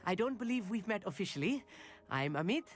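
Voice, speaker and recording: A man's voice: sits high, very animated, a little fast, faint music behind. A man playing a friendly schoolboy astronomy buff in a video game, chatty, enthusiastic and slightly boastful. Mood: eager, proud. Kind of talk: video game cutscene acting.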